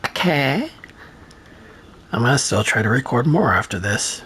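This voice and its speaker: A man's voice: medium pitch, sing-song, measured pace, a bit bright, a little hiss or hum. A man rambling through casual gameplay commentary, sarcastic and profane, with a voice that is starting to go. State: losing his voice. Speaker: gaming streamer.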